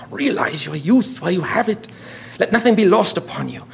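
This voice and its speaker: An actor playing a witty, languid aristocratic gentleman in a radio drama, speaking in theatrical epigrams. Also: sits high, animated, moderate pace, creaky, a little hiss or hum. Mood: urging.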